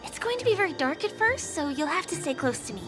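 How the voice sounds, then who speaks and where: An actress playing a gentle, polite young woman guiding someone in a video game, with a soft, courteous delivery. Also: very high-pitched, very animated, fast. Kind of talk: video game character acting. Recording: music playing behind the voice.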